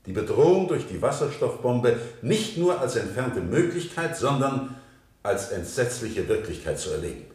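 A man's voice: mid-range voice, big pitch swings, moderate pace, a little mellow, lightly acted. A man acting an earnest, eloquent defense lawyer in a German radio play, speaking in solemn, emphatic courtroom oratory. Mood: solemn pleading. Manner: rhetorical, solemn, emphatic, clearly articulated. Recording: roomy studio courtroom echo of 1970 radio.

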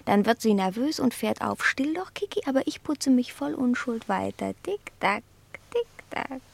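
A woman's voice: on the high side, expressive, normal speed, gravelly. A woman playing a haughty, easily affronted house cat in a German radio play, with a disdainful, theatrical delivery. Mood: smug.

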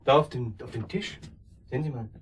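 A man's voice: mid-range voice, animated, brisk, background noise, natural voice. An actor playing a reserved, proud young man in a naturalistic radio drama, curt in speech. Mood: hesitant.

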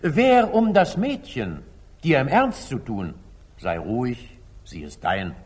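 A husky-voiced, commanding older sultan in an old radio play. His stately verse swings widely from soft musing to ringing command, indulgent and authoritative at once. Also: medium pitch, huge pitch swings, slow pace, heavily acted. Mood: calm authority. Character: magnanimous older sultan, commanding yet indulgent, ruler of Jerusalem. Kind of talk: 1940s German radio drama acting, classical stage verse. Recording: slightly muffled 1940s radio studio recording.

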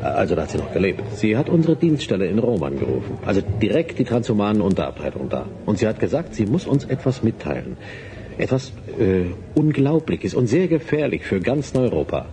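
A man's voice: fairly low pitch, big pitch swings, a little fast, noisy background. A man playing an earnest agent in a radio drama, reporting to a superior in a businesslike, slightly hesitant way. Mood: serious, concerned. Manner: businesslike, explanatory, a little hesitant. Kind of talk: German radio drama acting.

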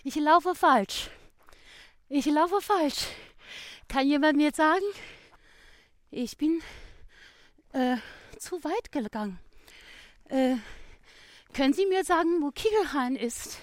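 Woman, high voice, unhurried and halting walking commentary, very dry recording. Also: animated, dry recording.

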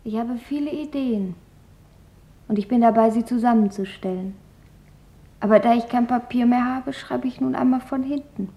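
A woman's voice: fairly high pitch, normal speed, dark tone, natural voice. An actress playing a thoughtful, earnest teenage girl diarist, reflective and questioning, in a German radio drama. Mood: reflective, wondering. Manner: questioning, reflective reading of her own writing.

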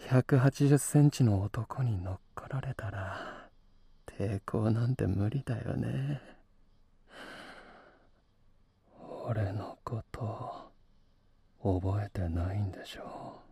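A male actor playing an obsessive young stalker in an audio drama, coaxing softly in a breathy whisper, very close to the microphone. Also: fairly low pitch, no echo, exaggerated voice. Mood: tender, menacing. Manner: slow, coaxing, soft-spoken.

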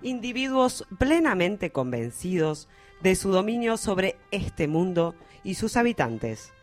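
A man acting a grandiose, higher-voiced radio prologue orator. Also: sing-song, takes it slowly, faint music behind, heavily acted. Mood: grave foreboding. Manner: grandiose, melodramatic. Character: ominous radio-drama prologue orator warning of sinister invaders. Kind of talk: theatrical scripted narration, War of the Worlds parody.

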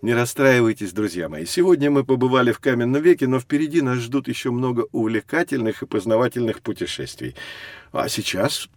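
Male actor as wise guide, kindly and patient, children's audio drama, expressive measured narration, mid-pitched, dry studio sound. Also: no echo, close to an everyday voice. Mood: patient, reflective.

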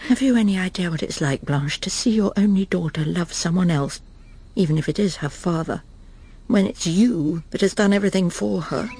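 A woman's voice: lowish voice, normal speed, warm tone, slight background noise, natural voice. An actress playing a proper, self-satisfied middle-aged wife and mother in a radio drama, prim and clipped, anxiously reassuring herself. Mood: hurt, insistent. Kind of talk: radio drama acting, dialogue and inner monologue.

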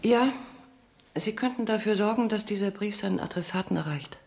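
An actress playing a seductive, world-weary, proudly fatalistic condemned spy in a German radio play, speaking theatrically and dropping into murmurs. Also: female voice, medium pitch, fairly quick, gravelly, background noise, natural voice. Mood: proud, insistent.

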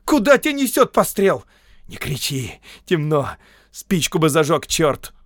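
A man's voice: a little high, expressive, a little slow, no echo, studio quality, pitched above the performer's own voice. A man voicing a tough young peasant woman in an audiobook story, curt, scolding and defiant in rustic speech. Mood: pained, angry.

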